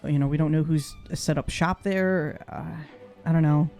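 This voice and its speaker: A man's voice: a little high, fairly even intonation, a little slow, darkish tone, soft background music. A man taking part in a tabletop game, talking casually in character as a player.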